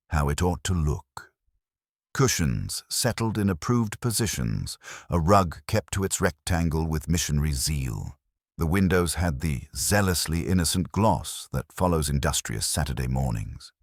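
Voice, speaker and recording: Male narrator, extremely deep, lively intonation, dry sound, measured wry storytelling. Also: no room sound. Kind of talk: scripted literary audiobook narration of a mystery.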